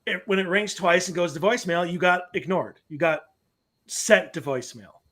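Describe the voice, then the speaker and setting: Male co-host, higher pitch, playful and laughing, reads stories aloud, webcam audio from a home room. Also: fairly bright tone. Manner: playful, quick to laugh, drifts into a sing-song voice. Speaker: podcast co-host. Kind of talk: casual chat, reading a listener story aloud. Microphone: webcam call from home.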